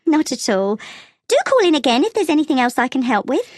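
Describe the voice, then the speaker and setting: A woman playing a helpful, matter-of-fact travel agent in a scripted language-test dialogue, explaining things clearly. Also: sits high, sing-song, fairly quick, no echo, studio quality. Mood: helpful, advising.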